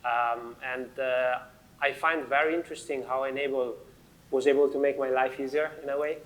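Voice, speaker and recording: A man with an Italian accent talks on a conference panel. He often stops with fillers and starts sentences again. His voice sits at an ordinary middle pitch. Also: steady tone, moderate pace, fairly bright tone, rough recording. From Italy. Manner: hesitant, many fillers and restarts. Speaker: panel speaker.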